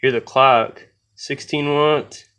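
A man's voice: medium pitch, fairly even intonation, slow, a bit bright. A man casually narrating a repair job as he works, thinking aloud in an offhand way. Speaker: hobbyist radio repairer. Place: indoor workbench.

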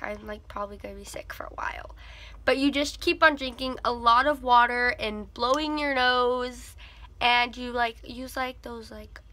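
A young woman with a cold chats to her laptop camera from her bedroom. Her voice sits a little high and sounds bright, with a stuffy, congested nose. She rambles casually and fills gaps with "like". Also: no room sound. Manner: chatty, many "like" fillers. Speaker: vlogger. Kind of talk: casual chat. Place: bedroom with a tapestry behind her.

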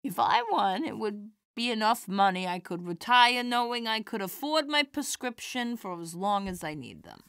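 A woman's voice: medium pitch, sing-song, moderate pace, creaky, hardly any pauses, clear, resonant tone, no room sound, clean studio sound. Woman acting a genteel elderly comedienne, closely recorded. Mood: gentle, wry. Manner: genteel, gently chiding, folksy turns of phrase. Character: kindly, proud elderly widowed comedienne, quick with quips. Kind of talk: audio drama acting.

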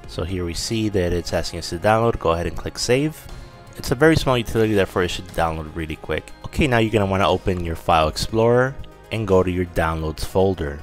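A man in his thirties narrating a step-by-step tech tutorial, instructing the listener directly. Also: deep voice. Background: background music.

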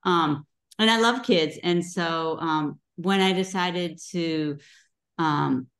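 A middle-aged woman, a guest author, talking casually over a video call, chatty with many pauses and ums. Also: on the low side, fairly bright tone.

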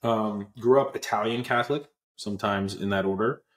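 Deep-voiced young man on a video call. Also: moderate pace, echoey room. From Pennsylvania, USA. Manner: earnest, reflective, many fillers and restarts. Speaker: guest, app co-founder. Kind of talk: casual interview storytelling. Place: small room indoors. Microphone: earbud headset on video call.

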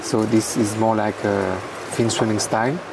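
A man with a French accent, a guest commentator, explaining swimming technique in halting, hesitant English. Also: on the low side, steady tone, creaky, far from the mic, noisy background. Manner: hesitant, halting, many fillers.

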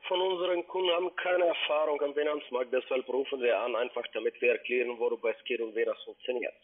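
A man making a polite phone sales pitch in non-native German with grammar slips, as a call center agent. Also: steady tone, quick pace. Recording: band-limited telephone line.

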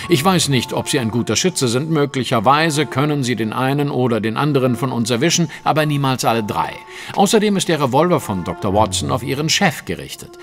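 An actor playing a confident, sardonic Victorian detective in a German audio drama, polite, measured and ironic. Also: male voice, mid-range voice, animated, soft background music, natural voice. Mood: firm, commanding.

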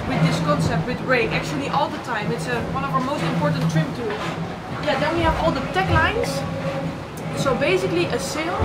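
Young woman, high voice, animated, explaining sailing gear, set back from the mic, loud engine noise. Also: recorded from a distance. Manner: animated, gesturing while explaining. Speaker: sailor giving a boat tour. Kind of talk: casual explanatory tour. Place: cramped racing yacht cabin at sea. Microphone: on-board camera a little off.

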